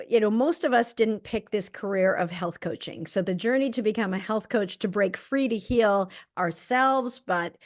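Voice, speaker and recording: A woman with a mid-range voice hosts the interview. She talks enthusiastically and chats freely. She fills her sentences with "uh" and "you know.". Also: mid-range voice. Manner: enthusiastic, chatty, with fillers. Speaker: host and doctor. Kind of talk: interview hosting.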